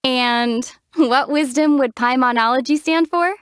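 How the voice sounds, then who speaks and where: Deadpan teasing young heroine. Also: female voice, high-pitched, unhurried, smooth, dry recording, studio quality. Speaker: player character, traveler heroine. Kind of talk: game voice acting.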